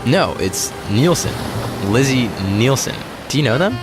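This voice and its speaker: A man playing an easygoing, puzzled space traveler in a sci-fi comedy audio drama, talking in halting, understated questions. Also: mid-range voice, sing-song, music in the background, low-quality recording. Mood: surprised.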